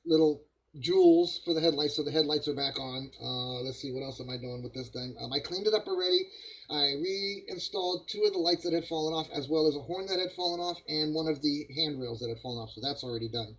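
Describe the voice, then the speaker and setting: A man hosting a casual live stream from his hobby bench, his voice froggy and rough from a cold. He talks in a slightly higher register for a man. He rambles easily and greets viewers by name as he works. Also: a little fast, slight background noise, low-quality recording. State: hoarse from a cold, coughing. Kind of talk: casual live-stream chat while model building.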